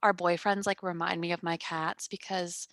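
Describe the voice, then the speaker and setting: A woman in her twenties hosting a podcast on a headset, chatting casually in a calm, fairly monotone voice with lots of fillers and deadpan humor. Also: fairly low pitch, moderate pace, dry recording, studio quality. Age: 20s. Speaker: podcast host. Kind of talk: casual chat. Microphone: gaming headset.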